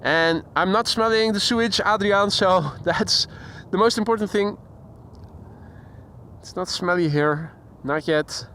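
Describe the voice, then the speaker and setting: A man's voice: high voice, a little slow, bright, forceful tone, slight background noise. A Dutch man with a Dutch accent hosting a live plane spotting stream outdoors by an airport, chatting casually and reading chat messages aloud. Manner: chatty, reads chat aloud, imitates sounds. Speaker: plane spotting livestream host. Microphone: handheld shotgun mic.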